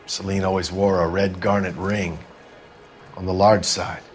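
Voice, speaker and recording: Low-voiced, world-weary club owner. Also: male voice, flat delivery, a little slow. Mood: wistful, guarded. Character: world-weary, gruff middle-aged male 1940s club owner. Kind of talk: video game voice acting.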